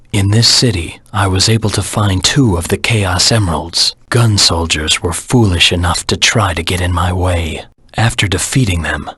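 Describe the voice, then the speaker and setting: Brooding video game antihero, very husky growl, hard-edged and forceful, terse, grim, menacing, vengeful. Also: male voice, low-pitched, no echo, exaggerated voice. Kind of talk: video game voice acting, dramatic monologue.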